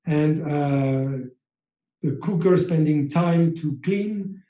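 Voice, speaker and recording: A man whose first language is French gives a slide lecture on manufacturing, speaking haltingly with many fillers. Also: fairly high pitch, dark tone, reverberant, dull audio.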